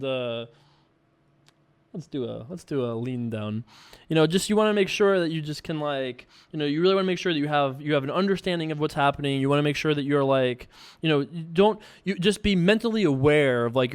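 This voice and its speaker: A man's voice: on the high side, clear, resonant tone. A man with an American accent in casual, rambling chat, hosting a poker show and answering viewer questions in a conversational way. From Long Island, New York, United States. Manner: rambling, conversational, frequent 'you know'.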